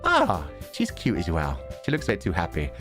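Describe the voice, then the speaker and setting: Very animated male game streamer. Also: low voice, moderate pace, faint music behind. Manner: animated, joking, slangy. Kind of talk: casual live game commentary.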